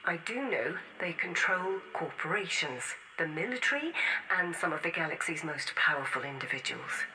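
An actress playing a defiant rebel woman leaving a grave final testament in a science-fiction game audio log, solemn, urgent and deliberate. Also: low voice, animated, background noise. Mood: grave, conspiratorial.

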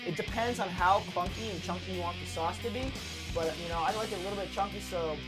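A man's voice: sits high, quick pace, poor audio. A young man hosting a casual home cooking video in a jokey, slangy way. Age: young adult. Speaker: cooking show host. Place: home kitchen. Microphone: clip-on lapel mic. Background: guitar music.

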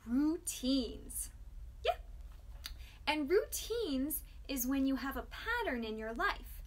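A young woman teacher talking to small children in simple words, asking questions and pausing for their replies. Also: sits high, big pitch swings. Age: young adult. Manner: simple child-directed talk with questions and pauses for response. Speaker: music teacher hosting children's storytime. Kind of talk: children's lesson, speaking to young kids.

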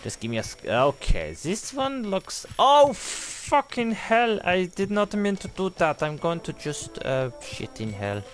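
A man's voice: sits high, unhurried, hardly any pauses, soft background music. Flustered young man in mock German accent. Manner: mock German-style accent drifting into a made-up one; swearing, flustered, bursts of excited shouting. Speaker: game streamer. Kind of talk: live let's-play commentary.

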